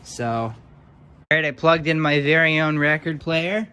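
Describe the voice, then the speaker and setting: A man's voice: a little high, a little slow, pushed and bright, clean tone. A man giving casual, hands-on commentary as a hobbyist electronics repairer, offhand and muttering as if to himself.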